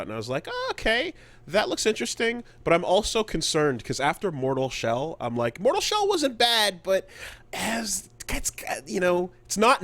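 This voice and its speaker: A man co-hosting a gaming podcast in casual chat, halting and repeating words as he thinks aloud. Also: a little high, a bit bright, no echo. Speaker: podcast co-host.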